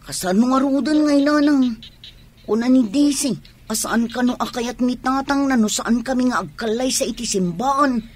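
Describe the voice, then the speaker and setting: A woman's voice: fairly high pitch, normal speed, natural voice. A woman with an Ilocano accent playing a pleading, insistent young person planning a wedding, in a radio drama.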